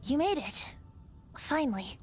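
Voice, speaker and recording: A carefree young male bard in an anime-style game. The voice is high and swings animatedly with whimsy, over faint background music. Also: very animated, unhurried, slightly rough, heavily acted. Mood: playful, breezy.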